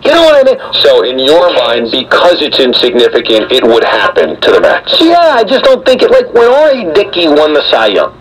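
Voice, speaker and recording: A man's voice: on the high side, huge pitch swings, brisk, hardly any pauses, rough recording. A man hosting casual sports talk radio in an informal manner full of rhetorical questions, heard through a small transistor radio. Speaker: radio talk show host.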